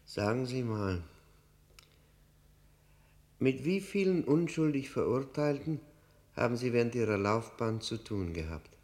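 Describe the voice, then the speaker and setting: A man acting an embittered, haunted prisoner in a German radio play, speaking in a brooding, literary, halting manner with heavy pauses. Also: mid-range voice, sing-song, a little mellow. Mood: tense, probing. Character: embittered long-term prisoner, haunted and disgusted, confiding.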